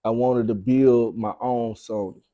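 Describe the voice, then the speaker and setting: Young male rapper as interview guest. Southern US accent, mellow tone, dry close sound, slangy and repetitive for emphasis. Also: medium pitch, steady tone, measured pace, dry recording, lo-fi sound. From Arkansas, United States. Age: young adult. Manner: slangy, repeats phrases for emphasis. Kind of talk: casual interview talk.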